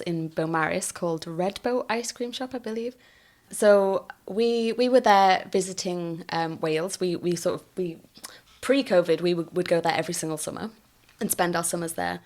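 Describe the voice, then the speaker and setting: A middle-aged woman, a photographer, chatting in her home as an interview guest, warm and giggly with hesitant restarts. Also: on the low side, moderate pace. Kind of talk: casual interview.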